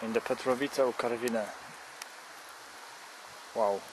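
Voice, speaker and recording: A man's voice: mid-range voice, fairly even intonation, background noise. A young man with a Polish accent, a cyclist speaking broken English while joking in a vlog, outdoors on a country path and filmed with an action camera. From Poland. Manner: playful, broken English, slow and exclaiming. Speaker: cyclist vlogger. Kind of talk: joking phone-call vlog. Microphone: action camera on helmet or bike.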